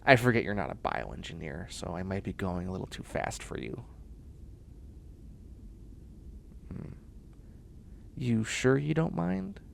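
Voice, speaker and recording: A man's voice: fairly low pitch, sing-song, normal speed, gravelly, a little hiss or hum, heavily acted. A man playing a nerdy, self-assured young bioengineering student in a comedic sci-fi audio roleplay, chatty, snarky and boastful. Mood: eager, chatty. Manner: chatty, snarky, boastful, muttering asides and exclamations.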